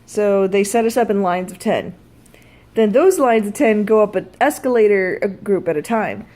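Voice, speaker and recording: A woman's voice: medium pitch, moderate pace, dark tone. A woman telling a personal story in a casual vlog style, blunt and freely swearing. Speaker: vlogger.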